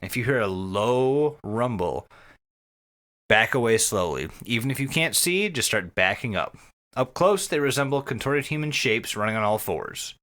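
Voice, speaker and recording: A man co-hosting a podcast, talking casually and describing things in an animated way with casual swearing. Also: medium pitch, normal speed. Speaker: podcast co-host.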